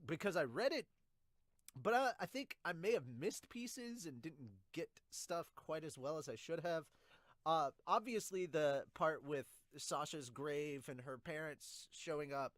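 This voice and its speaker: A man's voice: on the high side, lively intonation, measured pace, fairly bright tone, no echo. A young man giving casual reaction commentary, rambling and thinking aloud as he goes. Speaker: podcast host reviewing manga. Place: small indoor room with bookshelves. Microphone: close studio condenser with pop filter.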